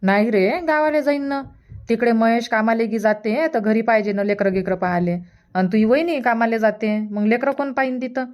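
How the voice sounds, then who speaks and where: A woman's voice: fairly high pitch, moderate pace, a little mellow, lightly acted. A woman playing a practical elderly village mother in a cartoon comedy, plain-spoken in rural Vidarbha Marathi. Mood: declining, explaining. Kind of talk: cartoon comedy dub dialogue.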